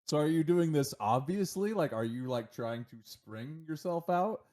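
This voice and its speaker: A man's voice: a little high, lively intonation, moderate pace, darkish tone. A man running a tabletop game, narrating casually and informally as game master.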